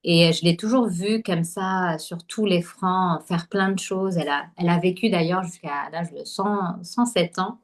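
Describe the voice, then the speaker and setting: A woman from Algeria telling her story on a podcast in a slightly low voice. She speaks casually and hesitantly, with many fillers and restarts. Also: moderate pace, few pauses. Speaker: podcast guest, holistic nutrition coach. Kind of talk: casual conversational interview.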